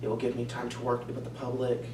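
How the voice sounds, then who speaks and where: A young man answering questions in a mock interview, earnest and steady, with occasional restarts. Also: medium pitch, fast talker. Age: young adult. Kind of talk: prepared answers in a practice interview. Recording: echoey room, far from mic, humming noise.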